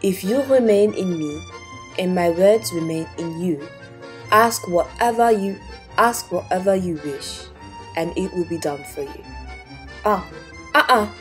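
A woman's voice: on the low side, unhurried, faint music behind. A young woman teaching a kids' Bible lesson in a playful way, trying out silly accents. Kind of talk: playful scripted kids lesson.

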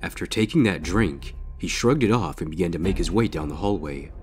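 A man's voice: sits low, animated, a little fast, darkish tone, soft background music. A man narrating a scripted story in a dramatic reading style.

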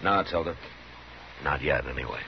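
An actor playing a stern, steady marshal in a 1950s radio Western, terse and commanding. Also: male voice, a bass voice, moderate pace, vocal fry. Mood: controlled. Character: stern, steady frontier marshal in the Old West. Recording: noisy, dull 1950s radio recording.

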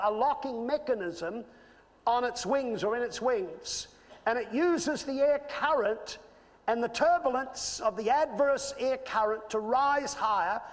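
A man in his fifties with an Australian accent, preaching a sermon and telling a personal story, earnest and building to emphatic peaks. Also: very high voice. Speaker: pastor. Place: church stage with screen. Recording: reverberant church hall.

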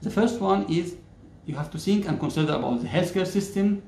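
A man in his thirties from Egypt, speaking English, explains a topic to camera in a measured way, pausing often on "uh". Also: high-pitched, creaky, darkish tone, roomy sound, slight background noise. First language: Arabic. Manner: measured, many "uh" pauses, gestures with hands. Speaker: YouTuber. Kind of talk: casual explainer talking to camera. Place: plain-walled indoor room.

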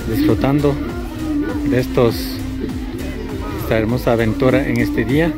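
A man's voice: mid-range voice, steady tone, a little slow. A man, hosting a casual outdoor travel vlog in a tour-guide style. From Ecuador. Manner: upbeat, tour-guide style, inviting viewers. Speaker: vlogger host. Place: rural riverside hills outdoors. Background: music.

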